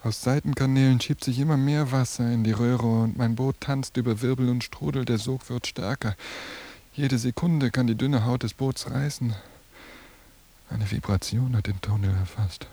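A man's voice: mid-range voice, steady tone, moderate pace, warm tone, close to an everyday voice. An actor playing a fearful young man caught in a nightmarish vision, narrating his inner thoughts in a haunted, intense radio monologue. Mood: dread. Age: young adult. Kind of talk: literary radio drama monologue.

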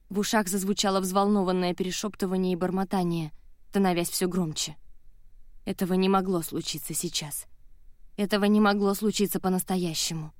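A woman narrates a dramatic first-person novel as an audiobook. Her voice sits a little low, and the studio sound is close and dry. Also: darkish tone, dry recording.

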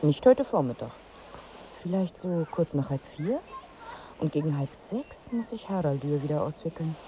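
An actress in a radio drama playing a wry, exasperated wife on holiday, dry and bossy in manner. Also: female voice, low voice, expressive, normal speed, mellow, a little hiss or hum. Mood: dry, matter-of-fact. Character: wry, exasperated married woman on holiday seeking pregnancy.

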